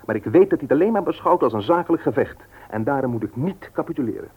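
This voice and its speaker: A man's voice: medium pitch, expressive, fast, slightly rough. A man acting in a radio play as a firm, frank nephew, formal and argumentative. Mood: hard-headed. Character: firm, frank married nephew running his uncle's business. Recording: dull, lo-fi old radio recording.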